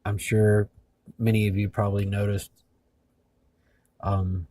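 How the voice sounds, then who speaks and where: A man hosting a video in casual narration, speaking haltingly with labored speech after mouth surgery. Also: on the low side, level tone, dark tone, dry recording. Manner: halting, hesitant. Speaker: video host.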